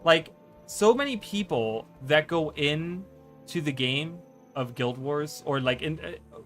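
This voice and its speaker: Male streamer, German native, talks in stammering loops, light raised pitch, low music bed. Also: lively intonation, slow pace, fairly bright tone. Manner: rambling, stammering restarts, frequent "right?". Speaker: streamer and content creator. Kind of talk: casual live-stream chat.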